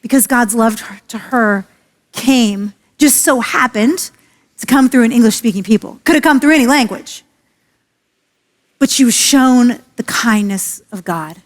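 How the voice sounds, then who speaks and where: A woman pastor preaching a sermon, earnest and emphatic, slowing and lowering her voice on key phrases. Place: church sanctuary. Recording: long hall reverb.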